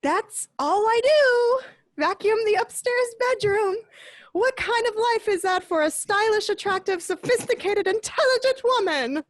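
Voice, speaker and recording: A woman's voice: extremely high pitch, expressive, measured pace, no echo. A woman voicing a chatty, discontented lighthouse keeper's wife in an amateur radio play. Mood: complaining. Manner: fussy, rambling complaints.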